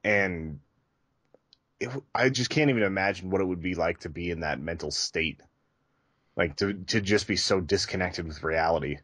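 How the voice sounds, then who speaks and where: A man with a low voice and a dry, echo-free sound. He talks as a podcast co-host, rambling loosely through casual conversation. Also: moderate pace, a bit bright. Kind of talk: casual chat.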